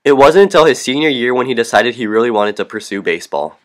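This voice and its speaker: A teenage student reporter reading a scripted news segment. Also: male voice, mid-range voice, animated, fast talker. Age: teens. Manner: reads from a script.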